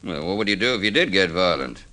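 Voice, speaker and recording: A man's voice: deep voice, sing-song, fast talker, bright, forceful tone, full character voice. An actor playing a knowing, fatherly police sergeant in an old radio drama, questioning with dry, avuncular sympathy. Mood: dry, probing.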